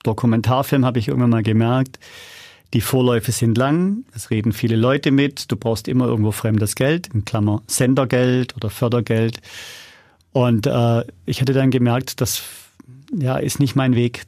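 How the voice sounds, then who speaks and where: A man's voice: mid-range voice, steady tone, normal speed, a little mellow, no echo, studio quality. A middle-aged man talking casually and anecdotally as an interview guest, often checking in with little tag questions. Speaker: interview guest, audio drama producer.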